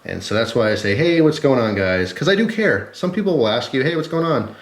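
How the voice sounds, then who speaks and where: A man's voice: medium pitch, sing-song, a little fast. Congested man chatting in an echoey room. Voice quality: congested, stuffy-headed. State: sick with a cold and flu. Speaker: host of a gear and knife review channel. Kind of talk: casual rambling vlog chat to camera. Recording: echoey, roomy sound.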